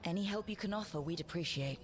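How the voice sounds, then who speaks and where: An actress playing a steady, resolute young party leader in a fantasy game, with a plain, earnest, decisive delivery. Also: female voice, fairly low pitch, quick pace, natural voice. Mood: earnest, inquiring.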